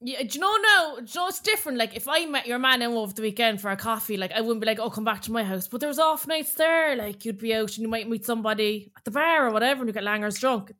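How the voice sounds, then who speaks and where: Bright-voiced, blunt Cork Irish woman. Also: on the high side, animated, fast, hardly any pauses. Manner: blunt, colloquial, sweary. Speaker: podcast co-host. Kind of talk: casual chat.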